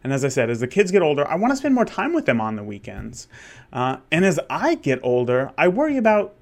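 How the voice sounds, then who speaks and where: A man's voice: a little high, wildly swooping pitch, fairly quick, creaky. A man of thirty, a wedding photographer, talking candidly in a rambling solo monologue with many restarts. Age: 30s. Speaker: wedding photographer and podcast host.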